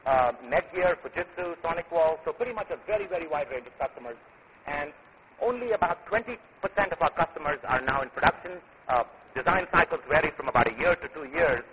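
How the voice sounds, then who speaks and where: A man presenting his company at a conference, speaking in a halting way with many filler sounds. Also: fairly high pitch, animated, a bit bright. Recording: muffled recording with faint noise.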